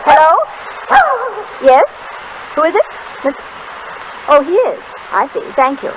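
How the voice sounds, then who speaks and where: A woman's voice: sits high, measured pace. A woman acting a witty, affectionate and curious detective's wife in a 1930s radio drama. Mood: bright, polite. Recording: noisy old 1930s radio recording.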